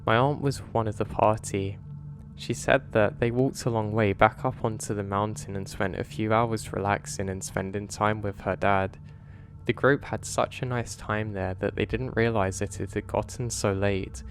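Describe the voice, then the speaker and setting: A man with a lowish voice tells horror stories in a steady, measured narration. A faint ambient hiss sits under the recording. His delivery stays calm and even as he reads. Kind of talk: scripted narration of submitted stories.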